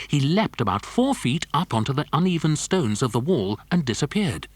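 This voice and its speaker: A man narrating a scripted children's story in an expressive storytelling manner. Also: on the low side, husky, no room sound.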